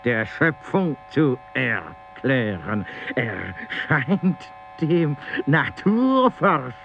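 An actor playing an agitated man in an experimental radio play, reciting in slow, broken phrases with wordplay. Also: medium pitch, sing-song, vocal fry, faint music behind, heavily acted. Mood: declaiming. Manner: drawn-out, fragmented, word-play delivery. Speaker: radio drama performer. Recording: dull broadcast tape with mains hum.

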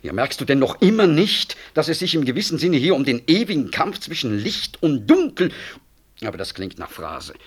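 An actor playing a smooth, self-important politician and doctor in a radio drama, evasive and pompous. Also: male voice, fairly high pitch, very animated, moderate pace. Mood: self-satisfied, grandiose. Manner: hedging, rhetorical, pompous. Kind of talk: 1960s German radio drama acting.

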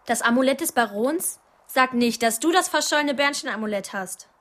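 An actress playing a chatty, excitable young girl in a radio play. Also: sits high, normal speed. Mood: astonished.

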